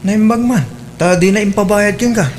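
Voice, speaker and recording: A man's voice: sits high, moderate pace, a little mellow, a little hiss or hum, close to an everyday voice. A man playing a fussy, grudging and nosy husband in a querulous way, in a radio drama.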